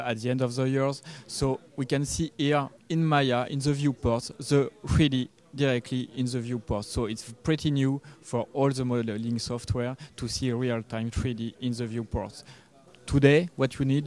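A man, giving a product demo interview in halting English. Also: medium pitch, a little mellow. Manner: halting non-native English. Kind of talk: trade show interview. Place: trade show booth indoors. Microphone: handheld interview microphone.

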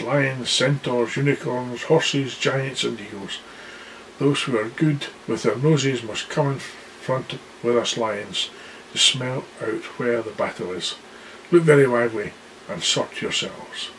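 A man voicing a mighty, commanding male lion king with a booming, authoritative delivery. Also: slight background noise, natural voice, poor audio.

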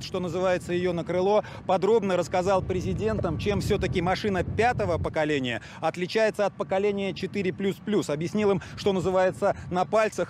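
High-voiced male news correspondent, halting delivery. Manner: halting, with hesitations and repeated words. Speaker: TV news correspondent reporting live. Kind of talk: live news report. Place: outdoors at an airfield. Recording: outdoor location with background noise.

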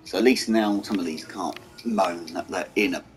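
A man with a hoarse, croaky voice, mumbling casual commentary over a strategy game and talking to its vehicles. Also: sits low, moderate pace. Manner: mumbling, talks to the game's vehicles. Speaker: gaming channel host. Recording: game music behind voice.

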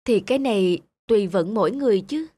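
A woman voicing a gentle, optimistic young cartoon wife, patient, content and reassuring in manner. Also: mid-range voice, animated, quick pace, no room sound, close to an everyday voice. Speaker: voice actor. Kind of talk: cartoon dialogue dub.

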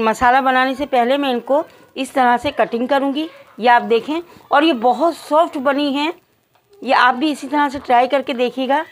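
A woman narrating cooking instructions step by step, addressing viewers directly as a home cook. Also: a little high. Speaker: home cook presenting a recipe.

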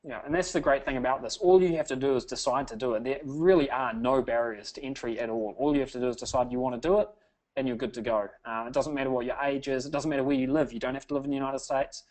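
A man's voice: medium pitch, quick pace, a little mellow, low-quality recording. A man hosting a sales webinar, talking in an upbeat salesy way and often tagging "okay?". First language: English. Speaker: webinar host.

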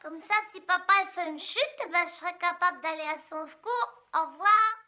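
Shrill, bright little boy chick. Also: moderate pace, exaggerated voice. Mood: eager. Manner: childlike, earnest, whiny. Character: cheerful, plucky little boy chick, prone to whining. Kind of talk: French cartoon dubbing.

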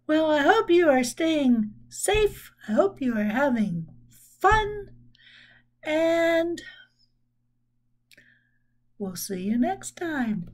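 A woman in her sixties reads to children in a high, very animated voice. She takes her time and explains things simply and expressively. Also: drawn-out words, pauses often. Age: 60s. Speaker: children's story reader. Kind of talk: read-aloud storytelling with asides to children. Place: small room at home.

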